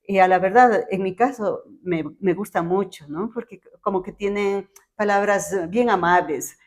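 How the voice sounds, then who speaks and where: Una mujer ecuatoriana con acento andino conduce el podcast, haciendo preguntas de forma amable y conversacional. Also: female voice, medium pitch, moderate pace. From Ecuador. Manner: friendly, asks questions, affirming. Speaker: podcast host. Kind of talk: casual interview chat.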